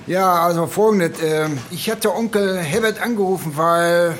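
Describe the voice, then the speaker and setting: A man's voice: high-pitched, slight background noise. An actor playing a hesitant, awkward younger man, a deferential nephew, in a naturalistic radio drama. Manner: halting, deferential, unsure.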